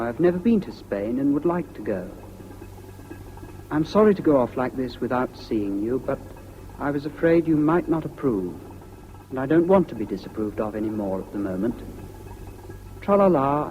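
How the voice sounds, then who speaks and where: A man's voice: mid-range voice, animated, moderate pace, lightly acted. An actor playing a flippant young man voicing a letter in a radio drama, wry and breezy. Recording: dull, hissy old radio recording.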